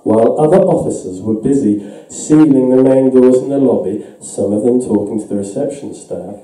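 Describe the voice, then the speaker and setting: Male storyteller, about thirty, measured literary delivery, very muted dark timbre, strongly reverberant room. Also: fairly low pitch. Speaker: story reader. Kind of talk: live literary reading of an eerie short story. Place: indoor event room, on small stage. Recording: distant, muffled, very echoey room.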